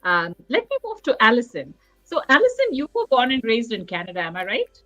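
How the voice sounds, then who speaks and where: A woman's voice: a little high, no echo, poor audio. A woman hosting an author panel, chatting animatedly and laughing readily as she asks questions. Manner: animated, quick to laugh and chime in. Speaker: panel host. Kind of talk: lively casual panel talk.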